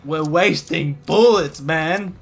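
A young man streaming a horror game, chatting casually and reacting in a jumpy, excitable way, muttering to himself. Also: fairly high pitch, slow, creaky. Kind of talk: casual gameplay commentary. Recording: game music playing behind voice.

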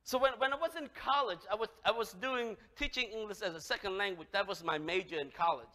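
A man in his thirties with a Cambodian accent, preaching a lively sermon with big swings from loud calls to soft words. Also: sits high, quick pace. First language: Khmer. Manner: animated, gesturing, swings from loud exclamation to soft. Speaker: pastor. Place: church sanctuary, large, indoors. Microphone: headset. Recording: long hall reverb.

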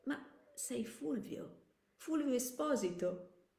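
A woman voicing a gentle, resigned schoolteacher in a story, tender and sorrowful. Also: high voice, expressive, slow, gravelly, voice pushed up, full character voice. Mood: amazed recognition. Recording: echoey room.

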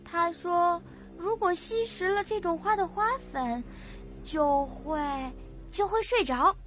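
A woman's voice: extremely high pitch, slow pace, a bit bright, faint music behind, heavily acted. An actress in an audio drama playing a sweet, forgetful young woman with a naive, hesitant way of talking. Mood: innocent, earnest. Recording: muffled lo-fi audio.

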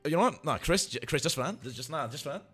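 A man's voice: a little high, a little fast, soft background music. A man hosting a livestream, chatting casually and jokily and often repeating himself. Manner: excitable, jokey, repeats himself. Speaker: livestream host. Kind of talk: casual livestream chat, greeting viewers.

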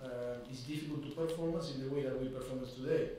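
Halting football manager, echoey room. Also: male voice, mid-range voice, steady tone, warm tone, a little hiss or hum. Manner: measured, halting second-language English with many fillers. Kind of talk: post-match press conference. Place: press conference room. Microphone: desk microphone. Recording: echoey room, mic at a distance.

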